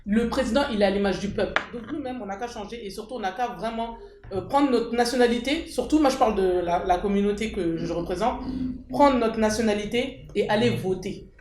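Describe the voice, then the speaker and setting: A woman's voice: medium pitch, fairly quick, a little mellow, roomy sound, slight background noise. A woman speaking up at an association meeting, emphatic and repeating her key points. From France. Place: meeting hall.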